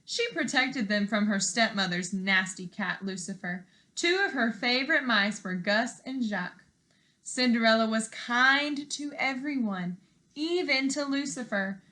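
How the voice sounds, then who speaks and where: A young woman reading a children's storybook aloud as a teacher, expressive, with deliberate emphasis. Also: on the high side. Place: living room at home.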